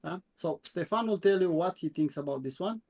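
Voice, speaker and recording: Romanian guy with a high voice going over crypto charts, lots of uhs, stops and restarts. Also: lively intonation, a little mellow. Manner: halting, many "uh" fillers and repeats, asks "yeah?". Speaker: co-host, crypto chart analyst. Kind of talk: casual live-stream chart review.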